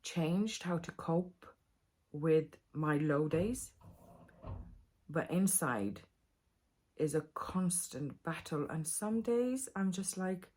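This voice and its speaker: A woman's voice: low voice, expressive, slow, pauses often, a little mellow. A woman chatting confessionally to camera as a vlogger, candid, emotional and rambling. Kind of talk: confessional casual chat to camera.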